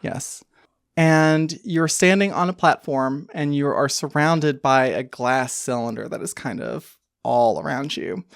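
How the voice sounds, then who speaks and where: A woman's voice: deep voice, measured pace, dry recording, studio quality. A woman narrating an improvised tabletop game as game master, descriptive and conversational, feeling out phrases as she goes.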